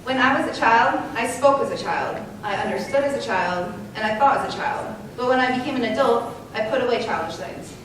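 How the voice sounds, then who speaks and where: A woman giving a measured recitation as part of a staged reading. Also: fairly high pitch, lively intonation, fairly bright tone, slight background noise. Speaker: readers theater performer. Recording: very echoey hall, distant microphone.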